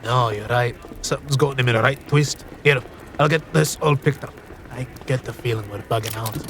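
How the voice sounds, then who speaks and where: An actor playing a cheeky, scheming card cheat in an audio fantasy drama, with a sly, teasing, slangy way of talking. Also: male voice, mid-range voice, brisk, noisy background, close to an everyday voice. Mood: casual, wary.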